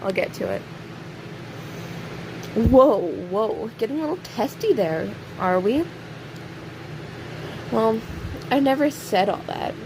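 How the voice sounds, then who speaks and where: A woman playing a snarky, cocky imprisoned serial killer in an audio roleplay, sarcastic and teasing, with pointed pauses. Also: on the high side. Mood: teasing, coy. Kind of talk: audio roleplay acting, addressing an unheard listener. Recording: steady clear background hiss or hum.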